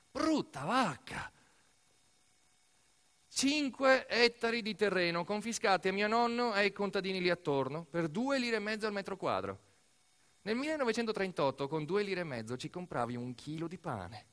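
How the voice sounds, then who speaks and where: A man performs a dramatic storytelling monologue for radio theatre, emphatic and full of deliberate pauses. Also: a little high, fairly bright tone. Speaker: actor-narrator of a theatrical monologue.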